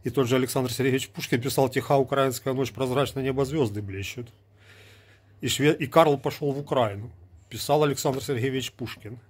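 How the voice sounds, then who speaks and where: Man, forties, from Ukraine, husky voice, mid pitch, argumentative, sarcastic, phone selfie video. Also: steady tone, a little fast. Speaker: vlogger. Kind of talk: casual talk to camera. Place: small indoor room with gear. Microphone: earbud mic, phone at arm's length.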